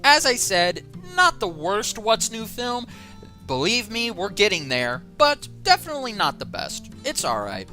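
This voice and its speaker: Animated woman ranting in a review. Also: mid-range voice, measured pace, faint music behind. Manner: exasperated, sarcastic ranting. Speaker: film reviewer. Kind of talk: casual online review commentary.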